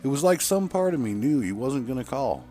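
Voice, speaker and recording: A man's voice: mid-range voice, very animated, brisk, dark tone, soft background music, voice pushed up, natural voice. A man voicing a wistful woman who earnestly recalls her trucker father in an audio story. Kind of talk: acted dialogue in an audio story.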